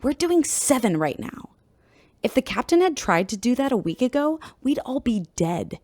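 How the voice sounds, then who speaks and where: A brisk female chief engineer in a sci-fi radio drama. Her delivery is very animated and wry, full of technical authority, in a dry recording. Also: on the high side, dry recording. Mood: wry amazement.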